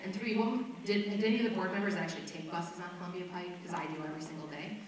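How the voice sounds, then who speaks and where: A woman's voice: fairly low pitch, fairly even intonation, quick pace, a crowd in the background. A woman speaking informally as a resident asking questions at a public meeting. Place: large indoor public meeting room. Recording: very echoey hall, distant mic, clear noise.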